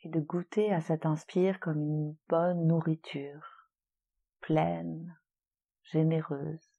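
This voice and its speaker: Woman, yoga nidra guide, gentle and soothing, lowish pitch, warm mellow tone, slightly dull recording. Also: flat delivery, vocal fry, husky. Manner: soothing, gentle, unhurried with long pauses. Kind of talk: guided relaxation meditation.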